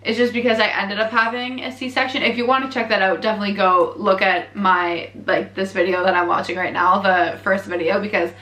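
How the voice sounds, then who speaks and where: A young woman with a Canadian accent chats freely and laughs easily, full of casual fillers. Her voice is bright and forward. It carries a noticeable room echo. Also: medium pitch, fairly even intonation, a little fast, few pauses. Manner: chatty, candid, laughs often, lots of fillers. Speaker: vlogger. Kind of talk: casual chat, reacting to her own earlier video. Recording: echoey room.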